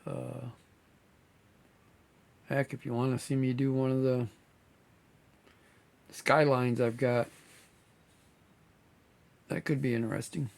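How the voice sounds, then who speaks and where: A man, hosting his model-building channel in a relaxed, rambling way, slightly hoarse as he is losing his voice. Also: mid-range voice, takes it slowly, long pauses. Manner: relaxed, rambling, many fillers. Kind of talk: casual chat to viewers.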